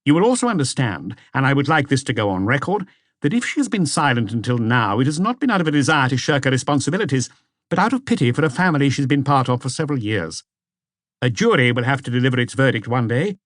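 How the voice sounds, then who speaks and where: A man's voice: medium pitch, sing-song, quick pace, dry recording, clean studio sound, voice pushed up, lightly acted. A man voicing a formal, self-assured defense lawyer in an audiobook, speaking in an oratorical manner. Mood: solemn, self-assured.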